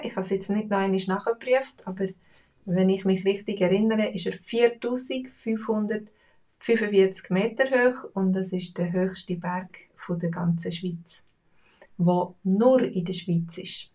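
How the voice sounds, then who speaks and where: A woman's voice: mid-range voice, unhurried. Swiss German woman, casual teacher, rambling with fillers, slightly distant mic. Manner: relaxed, chatty, with many fillers. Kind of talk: casual spoken lesson.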